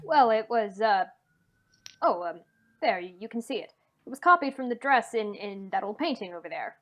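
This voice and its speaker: A woman playing an evasive gentleman under police questioning in an old-time radio play, halting and defensive. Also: mid-range voice, expressive, lightly acted. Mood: nervous, evasive. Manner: halting, stammering.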